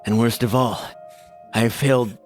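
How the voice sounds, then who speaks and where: A man's voice: medium pitch, fairly even intonation, unhurried, natural voice. A man voicing a brooding, sardonic hedgehog antihero in a melodramatic comedy dub. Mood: anguished. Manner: melodramatic, sarcastic. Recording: music behind the voice.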